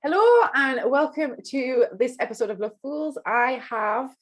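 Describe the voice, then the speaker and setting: A woman hosting a casual chat podcast, upbeat and eager, with quick asides to her guest and listeners. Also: high voice, animated. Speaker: podcast host.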